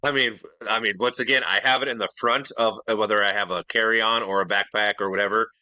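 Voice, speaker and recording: A man's voice: fairly low pitch, fairly even intonation, fast, pushed and bright, no room sound. A middle-aged man co-hosting a casual podcast chat, earnest and detail-minded, often restarting his sentences. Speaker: podcast co-host.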